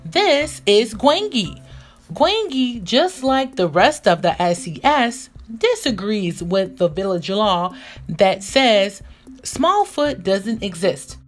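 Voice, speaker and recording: A woman reading a picture book aloud for young children, expressive and deliberate in her storytelling. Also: medium pitch. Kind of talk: animated read-aloud narration for young children. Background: music.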